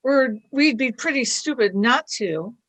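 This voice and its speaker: A woman's voice: fairly high pitch, lively intonation, unhurried, fairly bright tone. A woman voicing an eager, earnest young girl companion in a radio play.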